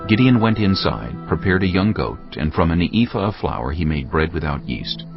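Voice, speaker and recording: A man's voice: deep voice, very animated, normal speed. A man narrating a dramatized reading of scripture as a scripted storyteller. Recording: muffled audio with faint music.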